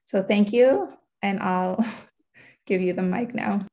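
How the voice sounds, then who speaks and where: A woman's voice: mid-range voice, slow pace, very noisy. A woman hosting a lecture series, giving a short, friendly introduction to a guest speaker over a video call.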